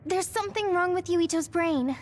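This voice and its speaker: A woman's voice: extremely high pitch, moderate pace, slight background noise. A woman playing a sweet, anxious teenage girl soldier in an anime-style video game, girlish and emotive. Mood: alarmed, worried.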